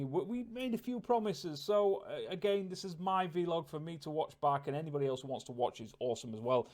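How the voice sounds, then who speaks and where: A man with a mid-pitched voice records a casual, self-reflective vlog. He speaks hesitantly, rambling and doubling back on his words as he works out his thoughts. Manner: hesitant, rambling, repeats words while thinking aloud. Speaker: vlogger.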